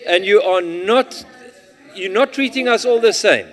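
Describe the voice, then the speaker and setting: A man's voice: sits high, unhurried, fairly bright tone. A middle-aged man with an Afrikaans South African accent, an Afrikaans speaker, insistently objecting in a parliamentary debate. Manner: indignant, insistent, interrupting. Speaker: member of parliament. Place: large parliament chamber, indoors. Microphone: desk microphone, standing. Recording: reverberant parliament chamber.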